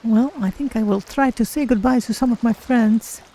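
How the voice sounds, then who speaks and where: Performer as curious, polite feline heroine for fantasy game roleplay; soft mellow tone, measured formal delivery. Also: medium pitch, a little hiss or hum, close to an everyday voice, poor audio. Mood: earnest, polite. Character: earnest female Khajiit cat-folk adventurer, curious, polite. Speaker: game streamer. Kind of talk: in-character game roleplay narration.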